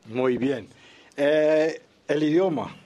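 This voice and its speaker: Slightly high-voiced male radio interviewer. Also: extremely slow, dark tone, muffled recording.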